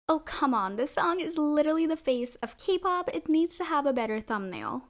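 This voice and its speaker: A woman with a high voice that has gone hoarse, talking through a scripted voice-over. She rates things with playful, sarcastic jabs and strong opinions. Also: expressive, normal speed, soft background music. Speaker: YouTuber reviewing music video thumbnails.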